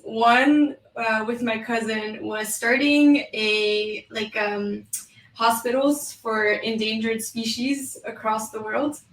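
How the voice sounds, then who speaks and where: A woman's voice: on the high side, roomy sound, far from the mic, low-quality recording. A woman in her thirties from New York City with a French accent, chatting as a guest with lots of "um" and "like.". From New York City, United States. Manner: chatty, with frequent "um" and "like" fillers. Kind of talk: casual interview.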